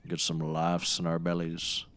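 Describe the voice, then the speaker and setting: A man's voice: low-pitched, monotone, measured pace, a bit bright, dry recording. A man playing a brash, scheming, theatrical sidekick in a scripted audio comedy, bossy and mock-grand as he teases. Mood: scheming, excitable.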